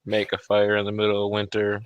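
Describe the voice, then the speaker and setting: A man's voice: on the low side, monotone, brisk, clean tone, no room sound. A man in casual chat, talking in blunt, sweary banter. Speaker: software developer, show guest.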